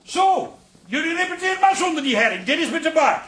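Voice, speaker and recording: An actor playing a fussy, pedantic grown son who complains easily, in a radio comedy drama. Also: male voice, very high-pitched, lively intonation, brisk, a bit bright, a little hiss or hum. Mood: fussy, annoyed.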